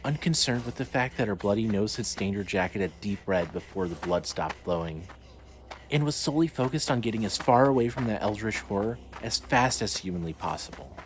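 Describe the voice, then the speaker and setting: A man's voice: on the low side, lively intonation, brisk. A man narrating a scripted horror story as its storyteller. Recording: clearly noisy ambient background.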